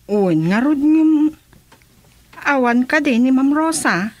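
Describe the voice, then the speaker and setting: Respectful young woman, high pitch, Ilocano accent, sweet polite manner, radio drama acting. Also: lively intonation, slow pace.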